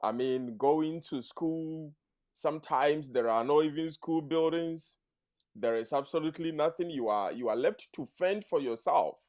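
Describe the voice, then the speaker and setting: A man, a math teacher talking as a podcast guest in an earnest, measured way, using full formal sentences. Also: a little high, a little mellow. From Ghana. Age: 30s. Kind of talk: podcast interview.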